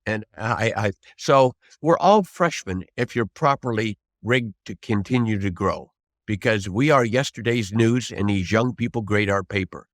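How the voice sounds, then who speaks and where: A man's voice: lowish voice, fairly bright tone, no echo, clean studio sound. Man in his seventies, emphatic teacher. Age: 70s. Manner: emphatic, deliberate, with repeated rhetorical prompts. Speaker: trial lawyer, interview guest. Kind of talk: conversational teaching of advocacy tips.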